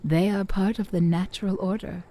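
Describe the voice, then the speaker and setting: A woman's voice: medium pitch, steady tone, moderate pace, dark tone, close to an everyday voice. A woman playing a mysterious, solemn keeper of ancient lore, respectful and deferential, in a fantasy audio drama. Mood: solemn, knowing.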